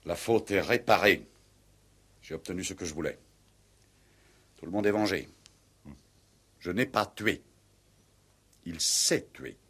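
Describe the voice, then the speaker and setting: Very deep, grave old-radio commandant. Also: male voice, animated, a bit bright, slightly rough, heavily acted. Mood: solemn. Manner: formal, measured, cryptic. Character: grave, honour-bound male military commandant, coolly cryptic. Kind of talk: 1960s French-language radio play acting. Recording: vintage 1960s radio drama recording.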